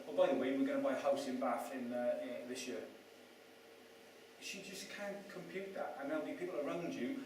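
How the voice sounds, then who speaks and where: A man raised in Wales gives a chatty, self-mocking talk to an audience, often tossing questions at them. His voice sits a little high. It is heard from a distance in a strongly echoing room with faint noise behind. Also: darkish tone. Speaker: speaker at a property event. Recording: echoey room, mic at a distance.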